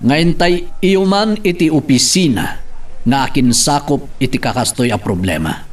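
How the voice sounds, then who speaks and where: Man acting distant, animated farmers' leader. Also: mid-range voice, moderate pace, natural voice. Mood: earnest, rallying. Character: earnest farmers' leader rallying his comrades. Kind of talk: radio-style Ilocano drama acting.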